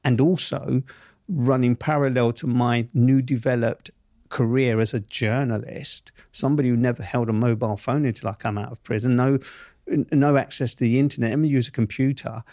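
A middle-aged man speaking in a South East London accent, unhurried and emphatic. He leans on key words with deliberate stresses. The recording is close and dry, with no room around him. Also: mid-range voice, steady tone, dark tone, no room sound. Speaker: interview guest. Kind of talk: reflective interview answers.

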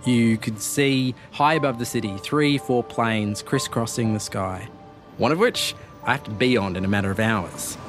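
A man's voice: medium pitch, normal speed, over music. A man hosting and narrating a documentary in a wry, self-deprecating way. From Australia. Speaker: host and reporter.

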